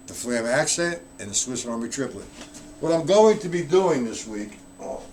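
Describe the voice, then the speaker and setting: A man's voice: medium pitch, lively intonation, moderate pace, darkish tone, slight background noise. A man giving a casual live-streamed drum lesson, explaining rudiments in a loose, chatty way and answering viewers in the chat. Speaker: drum teacher.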